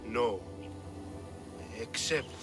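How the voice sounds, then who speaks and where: A man's voice: mid-range voice, very slow, loud music behind the voice. A man playing a cowardly, bumbling guard in a video game, hesitant and blustering as he corrects himself. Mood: sheepish, defensive.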